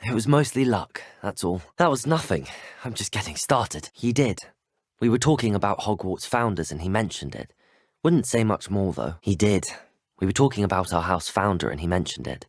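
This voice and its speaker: A plucky teenage student wizard in a video game, with a British accent and a slightly husky, expressive voice. He is polite, earnest and well-spoken, with a middling pitch and a close, dry sound. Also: normal speed, no echo. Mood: polite, curious. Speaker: video game player character voice. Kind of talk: scripted game voice lines.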